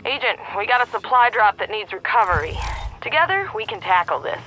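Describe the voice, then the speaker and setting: A woman's voice: fairly high pitch, very animated, fairly quick, pushed and bright, a little hiss or hum, poor audio. A woman playing a morbidly reassuring mission coordinator in a video game, giving grim remarks peppily. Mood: bright, morbid. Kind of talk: video game radio dispatch dialogue.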